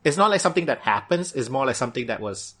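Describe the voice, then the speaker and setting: A man's voice: medium pitch, big pitch swings, fast, background noise. A man hosting a podcast, talking casually and steering the chat with questions. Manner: laid-back, prompting questions.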